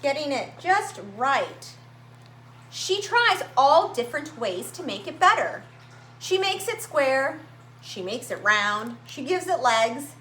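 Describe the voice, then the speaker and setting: High, animated woman reading a children's story. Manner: animated, dramatic emphasis with drawn-out words and simple asides. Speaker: children's story-time reader at a library. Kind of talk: expressive read-aloud of a picture book for young children. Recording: roomy echo, faint background noise.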